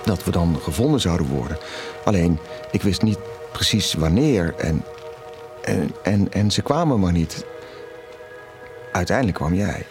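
A man acting as a caring, confessional middle-aged father in an audio drama, speaking intimately and haltingly. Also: low voice, lively intonation, music in the background. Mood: anguished, recounting. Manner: halting, repeats words when emotional.

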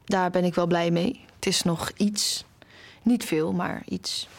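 A woman's voice: on the low side, steady tone, slow, gravelly, heavily acted. A young woman acting in an audio drama as an anxious, tender, nearly blind music student who also narrates her story. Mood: tender, wistful. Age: young adult. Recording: music under the voice.

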